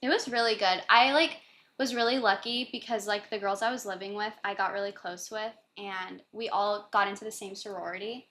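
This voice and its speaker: A woman's voice: mid-range voice, fairly even intonation, a little fast, a bit bright, a little hiss or hum. A young adult woman telling her life story as a podcast guest, in a chatty way, with lots of "like" fillers. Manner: chatty, heavy use of "like" as filler. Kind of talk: casual conversational storytelling.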